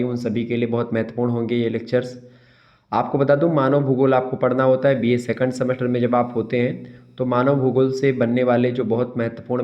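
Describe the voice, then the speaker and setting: A man's voice: medium pitch, warm tone. A man with a sore throat giving an exam-prep lecture, repeating key terms and answering his own questions. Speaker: teacher. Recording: dull lo-fi sound.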